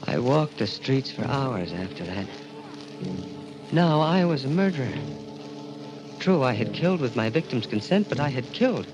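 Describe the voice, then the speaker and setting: An intense, guilt-ridden young man , played by a male actor in an old radio thriller, with lively swings of pitch. He speaks in a heightened 1940s dramatic style, with music running behind him. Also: normal speed, long pauses, natural voice, poor audio. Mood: haunted, brooding. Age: young adult. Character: anguished, guilt-ridden young man narrating his crime. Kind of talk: 1940s radio mystery acting.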